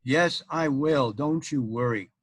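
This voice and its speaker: A man's voice: mid-range voice, slow pace, breathy edge, dry recording. A man voicing an earnest, chivalrous storybook knight with courtly, exclaiming speech. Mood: reassuring, questioning. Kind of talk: live storytelling for an audience.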